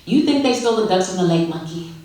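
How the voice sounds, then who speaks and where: A woman's voice: mid-range voice, lively intonation, quick pace, dark tone, voice pushed up, natural voice. A woman voicing an elderly Korean father, playful, wistful and doting, in a theatrical live story reading. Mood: teasing. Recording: echoey hall, distant microphone.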